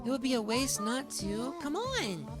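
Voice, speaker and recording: A high, childlike anime animal girl, acted by a woman, speaking visual novel dialogue. She is cute, playful and affectionate toward her friend, with clearly audible game music underneath. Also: very animated, a little slow. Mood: excited.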